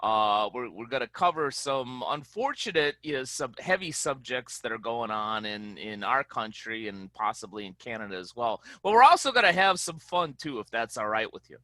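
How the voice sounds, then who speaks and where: Bright-voiced friendly male host. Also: mid-range voice, very animated, normal speed, few pauses, no room sound. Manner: friendly, laughs easily, rambling asides. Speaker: podcast host. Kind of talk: casual interview hosting.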